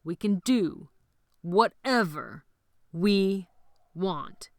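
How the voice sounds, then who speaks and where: A woman's voice: mid-range voice, lively intonation, drawn-out words, no echo, clean studio sound. Woman doing cocky teen ringleader Sebastian. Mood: bossy. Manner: loud, rallying, scornful. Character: cocky teenage boy ringleader, brash and bossy. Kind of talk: audiobook character voice.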